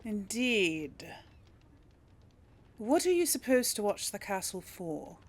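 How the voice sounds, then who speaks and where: A woman's voice: medium pitch, sing-song, takes it slowly. A woman doing casual game commentary and role-playing dialogue choices, musing aloud with little hums. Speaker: gamer narrating a role play series.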